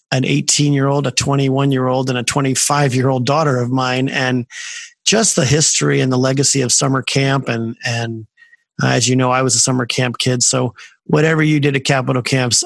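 Gravelly-voiced podcast host man. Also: medium pitch, no echo. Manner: friendly, rambling with many fillers and restarts. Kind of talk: casual interview chat. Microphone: video call.

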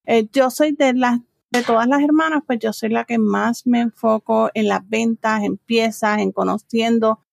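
A woman's voice: a little high, steady tone, unhurried, creaky, no room sound, clean studio sound. A middle-aged woman with a Puerto Rican accent, answering conversationally as an interview guest. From Puerto Rico. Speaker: interview guest, business owner and trainer.

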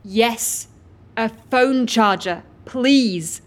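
A woman's voice: on the high side, drawn-out words. An actress playing a curt, exasperated woman stranded as a customer, clipped and insistent, in a comedy audio drama.

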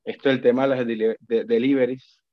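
A man's voice: mid-range voice, level tone, brisk, dry recording. A Colombian man in his thirties, speaking as a podcast guest with a Colombian Spanish accent. He talks reflectively, stopping to repeat and restart words. The recording is dull and lo-fi, with a dry, close sound. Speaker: guest, account manager. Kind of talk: casual podcast conversation.

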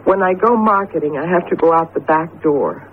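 An actress playing an anxious, distressed woman, fretful and halting, in a 1970s radio drama. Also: lowish voice, natural voice. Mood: worried, helpless. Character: anxious, distressed woman worried about a household member. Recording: tinny, hissy old radio broadcast.

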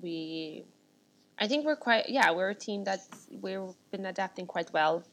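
A woman's voice: mid-range voice, lively intonation, unhurried, a bit bright, rough recording. A woman from Sweden, a startup founder chatting as an interview guest, rambling with many restarts. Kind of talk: casual interview chat.

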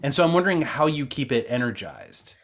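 A man's voice: mid-range voice, sing-song, a little fast. A man interviewing colleagues in a casual, conversational way. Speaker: interviewer and co-producer.

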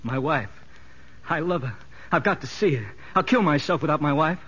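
An actor playing a desperate, homesick convict in a 1950s radio drama, halting and anguished. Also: male voice, a little high, expressive, fairly bright tone, husky. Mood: anguished, confessing. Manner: halting, anguished, repeating himself. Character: desperate, homesick male convict pleading under hypnosis. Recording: dull, muffled vintage radio recording.

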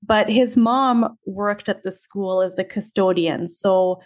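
A Canadian woman in her thirties speaks as a podcast guest. Her voice sits in a middle pitch, and she talks in a friendly, measured way with frequent small fillers. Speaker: teacher and author, guest. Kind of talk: casual interview storytelling.

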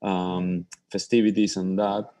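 A man from Argentina, a chef, telling stories in a relaxed podcast interview, with slightly non-native phrasing. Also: low-pitched, measured pace. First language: Spanish. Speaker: chef, guest. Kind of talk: casual interview.

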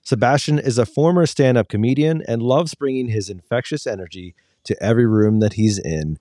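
Joking podcast host, dry close sound. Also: male voice, mid-range voice, brisk, mellow, no room sound. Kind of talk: casual podcast hosting, reads guest intro.

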